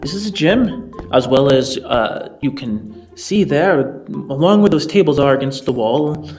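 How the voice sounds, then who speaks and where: A man voicing a flustered, evasive female orphanage administrator in a tabletop game, speaking in a halting, hedging way. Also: medium pitch, lively intonation, a little mellow, slightly rough, faint music behind. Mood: businesslike.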